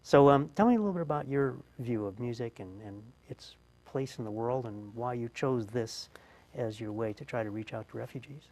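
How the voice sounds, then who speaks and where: A man's voice: medium pitch, normal speed, few pauses, darkish tone, low-quality recording. A man hosting an interview, asking questions conversationally and thinking aloud as he goes. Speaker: interview host.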